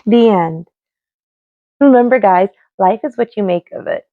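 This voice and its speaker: A woman reading a children's storybook aloud as host, expressively and with dramatic pauses. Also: medium pitch, no room sound, phone-quality sound. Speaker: storybook reader and show host.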